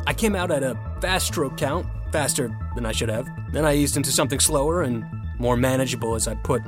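A man plays an earnest young rower telling his own story, with clear music behind him. His mid-pitched voice is candid and introspective, in first-person audio drama narration. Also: brisk, lightly acted. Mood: tense, recounting. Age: young adult. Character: earnest, competitive young novice male rower.

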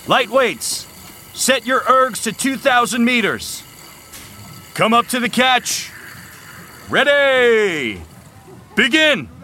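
A man's voice: very high-pitched, big pitch swings, slow, pauses often, sharp tone, slight background noise, exaggerated voice. A man playing a brash, contemptuous young college rowing captain in an audio drama, barking and taunting with a commanding manner. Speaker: team captain.

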